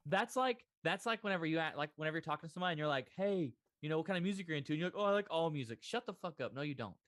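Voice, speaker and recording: A man's voice: fairly high pitch, animated, very fast, a bit bright, dry recording, clean studio sound. A man co-hosting a podcast, answering questions in casual banter and teasing his partner with disbelief. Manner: incredulous, teasing, swears freely.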